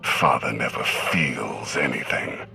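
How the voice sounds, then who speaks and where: A brooding warrior prince for a video game. The voice is extremely deep and gravelly, cold and bitterly restrained, with slow pacing over music. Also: male voice, exaggerated voice. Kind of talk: dramatic video game acting.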